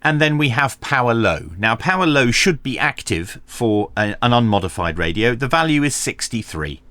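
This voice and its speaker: Male reviewer, methodical and explanatory, medium pitch with lively inflection, dry recording. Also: normal speed, dry recording. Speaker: radio reviewer presenting a video. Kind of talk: hands-on product review commentary.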